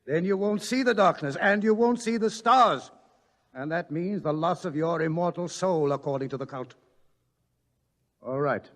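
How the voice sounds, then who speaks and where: An actor playing a sardonic, world-weary scientist in a radio drama, wry and theatrical in delivery. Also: male voice, on the high side, moderate pace, long reverb tail, close to an everyday voice. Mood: urgent, firm. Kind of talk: mid-century radio drama acting.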